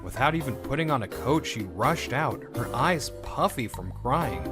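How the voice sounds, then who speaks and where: A man's voice: mid-range voice, lively intonation, moderate pace, over loud music, close to an everyday voice. A man playing a bitter, wounded young lead who narrates in scripted first person for a comic dub. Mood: tense, reflective.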